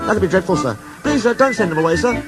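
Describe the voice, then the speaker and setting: A man putting on a timid little storybook engine, high squeaky voice, stammering, with music playing behind. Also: lively intonation, fast talker. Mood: nervous pleading. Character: nervous young small tank engine.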